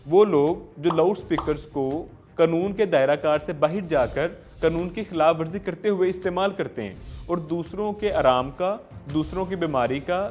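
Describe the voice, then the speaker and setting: A man's voice: fairly high pitch, darkish tone. A man with a Pakistani accent presenting an explainer to viewers as a legal host, speaking formally and emphatically with rhetorical turns.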